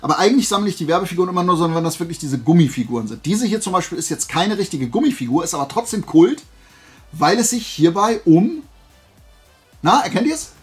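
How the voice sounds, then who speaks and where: A man's voice: high-pitched, animated, quick pace, soft background music. A man chatting casually and unscripted to viewers as a video host, enthusiastic, colloquial and rambling. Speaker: video host showing collectible finds.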